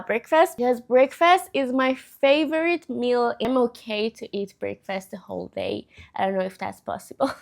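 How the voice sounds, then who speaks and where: A woman's voice: a little high, gravelly, reverberant. A young woman with English as a second language, vlogging casually about her day from her apartment. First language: not English. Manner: chatty, upbeat, self-reflective. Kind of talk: casual vlog narration. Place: home apartment.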